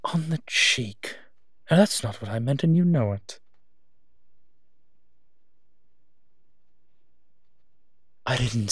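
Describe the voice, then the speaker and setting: Casting: male actor for kindly monster protector, teasing and soft-spoken, slow delivery, dry intimate audio-drama sound. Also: on the low side, dry recording. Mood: playful, teasing. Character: gentle, teasing male monster protecting children, detective's partner. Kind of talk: intimate audio roleplay addressed to the listener.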